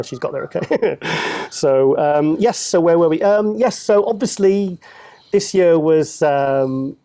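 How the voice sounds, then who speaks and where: A man's voice: a little high, mellow, dry recording. A man co-hosting a casual show, chatty and jokey, laughing often. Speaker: co-host. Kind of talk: casual chat.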